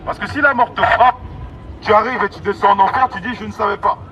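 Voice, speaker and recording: A man's voice: high-pitched, fairly even intonation, quick pace. A man street evangelist giving an exhorting, repetitive open-air sermon in emphatic phrases through a megaphone. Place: outdoors in an urban public street. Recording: dull, distant megaphone sound, loud street noise. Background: traffic and city ambience.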